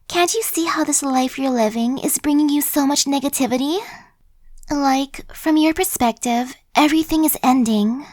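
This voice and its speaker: A woman's voice: high voice, moderate pace, a little mellow, dry recording, natural voice. A woman playing a chatty, sly young female zombie in an ASMR roleplay, talking in a slangy, cajoling, buddy-like way. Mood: coaxing. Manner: casual slangy wheedling, buddy-like.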